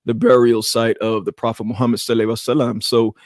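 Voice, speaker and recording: A man's voice: medium pitch, level tone, racing, no echo, clean studio sound. A man who grew up speaking Arabic, co-hosting a podcast and telling stories from his upbringing in a loose, reflective way. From Queens, New York. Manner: reflective, loose storytelling with repeated restarts. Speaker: podcast co-host. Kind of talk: casual podcast conversation.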